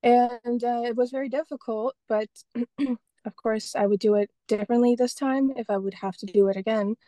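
A woman's voice: on the high side, steady tone, moderate pace, mellow, no echo. A young woman from Estonia, a painter and photographer, talking casually as an interview guest and often restarting her sentences. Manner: rambling, many restarts and fillers.

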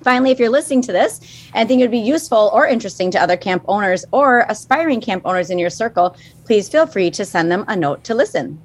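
A woman's voice: medium pitch, expressive, fast talker. A woman with a Minnesotan accent co-hosting a podcast in a casual, friendly, self-teasing way. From Minnesota, USA. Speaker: podcast co-host.

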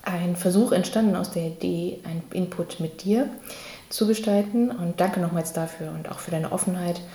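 Woman podcast host, mellow voice, echoey room. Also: fairly low pitch. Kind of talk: conversational podcast interview. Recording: echoey room with faint background noise.

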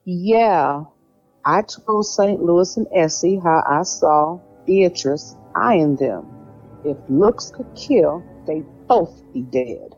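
A woman's voice: on the low side, lively intonation, slow, darkish tone, husky, full character voice. A woman playing a sassy, judgmental young sister in an audio drama. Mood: gossipy, scornful. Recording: slightly dull audio, music underneath.

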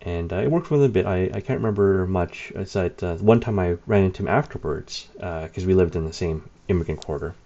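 Man, very low dark voice, rambling anecdotal storyteller, dull lo-fi sound. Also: a little fast, few pauses. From Canada. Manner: rambling, many fillers, wry laughs. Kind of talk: casual anecdote telling. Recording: dull lo-fi recording.

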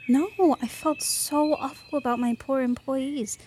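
A woman's voice: high-pitched, fairly even intonation, measured pace, a little mellow, slight background noise. An actress playing an anxious, guilt-ridden woman in an audio drama, speaking earnestly and with exasperated emotion. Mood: ashamed, earnest. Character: anxious, guilt-ridden woman, abuse survivor facing an inquiry. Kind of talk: fiction podcast audio drama acting.

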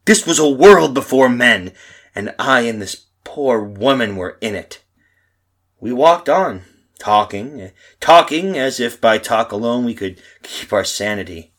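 A man's voice: mid-range voice, lively intonation, a little slow, fairly bright tone. A man acting a frantic, disbelieving husband lost in a strange past, stammering and repeating himself in a 1930s horror radio play style. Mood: haunted, narrating. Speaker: actor and narrator in a radio drama.

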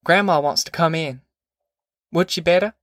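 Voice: medium pitch, normal speed, fairly bright tone, no echo, clean studio sound, pitched above the performer's own voice, close to an everyday voice. A performer voicing a worried but reassuring country mother from a novel, plain and protective in manner. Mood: anxious.

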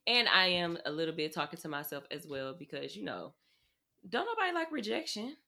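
A woman co-host with talks in an encouraging, relaxed way on a podcast. Her voice is low-pitched and bright. She backs up her friend with short affirmations and easy advice. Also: brisk. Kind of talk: casual chat.

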